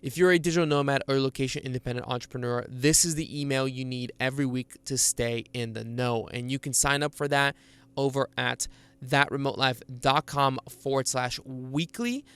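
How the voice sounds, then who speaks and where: A man's voice: mid-range voice, fairly even intonation, moderate pace, a bit bright, clean tone. Upbeat young man hosting a podcast. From Bulgaria. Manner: upbeat, chatty, many fillers like "uh" and "like". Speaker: podcast host. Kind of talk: casual interview chat with ad read.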